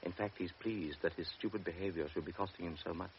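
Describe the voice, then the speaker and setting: Low-voiced male narrator, steady delivery, vintage radio. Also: fast. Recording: dull old radio broadcast, faint hiss.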